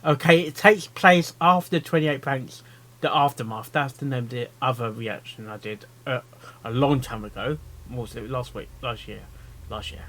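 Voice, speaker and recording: A man giving casual live reaction commentary, rambling and repeating himself in a halting way. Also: medium pitch, a bit bright. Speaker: reaction video host.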